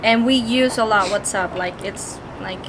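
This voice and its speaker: A woman from Colombia, a native Spanish speaker with a Colombian accent, chatting casually in halting English. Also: mid-range voice. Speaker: guest, partner of the host. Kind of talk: casual chat. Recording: noisy background.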